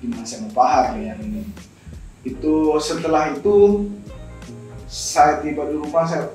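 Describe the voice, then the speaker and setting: A very echoey recording, picked up at some distance, carries a young man's slightly high voice. He talks as a guest on an informal talk show. He explains in a conversational way, often repeating a phrase before moving on. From Indonesia. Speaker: guest, scholarship alumnus. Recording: very echoey room, distant mic, music behind.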